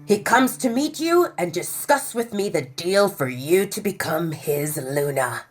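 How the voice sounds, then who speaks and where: A woman's voice: lowish voice, lively intonation, a little slow. A woman voicing a stern, domineering middle-aged father and pack alpha in an audio drama, cold and commanding.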